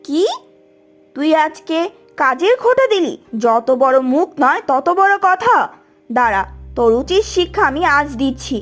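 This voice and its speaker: A woman's voice: high-pitched, very animated, measured pace, a bit bright, faint music behind, heavily acted. A woman voicing a bad-tempered village aunt and housewife in a cartoon, nagging with a sarcastic edge. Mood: furious. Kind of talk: animated cartoon voice acting.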